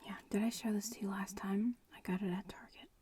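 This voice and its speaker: A woman voicing a young witch-in-training in an ASMR roleplay, murmuring and whispering with a creaky, breathy voice and a nonchalant drawl. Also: medium pitch, steady tone, a little fast, mellow, slight background noise, lightly acted. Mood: nonchalant, explaining. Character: casual young witch-in-training, bratty schoolmate.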